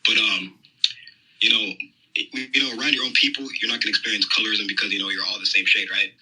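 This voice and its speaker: A man's voice: fairly low pitch, steady tone, fast, pushed and bright, a little hiss or hum, low-quality recording. A young American man calling in from his phone, talking hesitantly with many fillers. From United States. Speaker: caller. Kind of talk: phone-in conversation.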